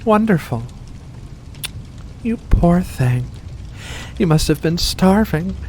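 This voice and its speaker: A woman's voice: fairly low pitch, expressive, slow pace, a little mellow, slight background noise. A woman voicing an ancient, seductive furry vampire in an ASMR roleplay, coaxing and genteel with veiled menace. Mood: silky, welcoming. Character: ancient predatory vampire with furry paws, seductive, faux-kindly. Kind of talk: ASMR roleplay addressed to the listener.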